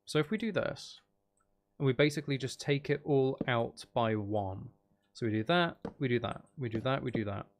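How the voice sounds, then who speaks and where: A man's voice: mid-range voice, animated, normal speed. A man giving casual live gameplay commentary, rambling and thinking aloud as he goes. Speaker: gaming streamer. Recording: faint background noise and clicking.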